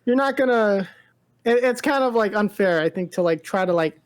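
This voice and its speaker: A woman's voice: mid-range voice, animated, normal speed. Rambling woman co-hosting a casual podcast. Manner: rambling, frequent self-interruptions and repeated words. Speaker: podcast co-host. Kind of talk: casual movie discussion on a pop culture podcast.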